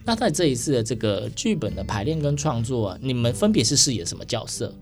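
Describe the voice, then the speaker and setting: A man's voice: mid-range voice, very animated, fast, faint music behind. A man with a Taiwanese Mandarin accent hosting a casual interview in a radio studio. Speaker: radio show host.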